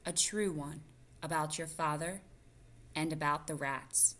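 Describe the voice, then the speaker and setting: A woman reading the part of a careful mother mouse in a storybook, measured and gently reasoning. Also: sits low, steady tone. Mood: gentle.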